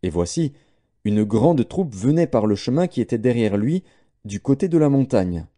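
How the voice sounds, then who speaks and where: A man reading scripture aloud as scripted audiobook narration, with a dark, mellow tone. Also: medium pitch, very animated, moderate pace. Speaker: audiobook Bible reader.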